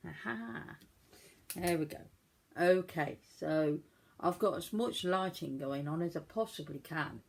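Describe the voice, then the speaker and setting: Croaky lady doing her eye makeup, lowish voice, chatty and a bit self-mocking. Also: measured pace. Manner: chatty, self-deprecating, talks while working. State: croaky from a cold. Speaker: beauty vlogger. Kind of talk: casual makeup tutorial chat.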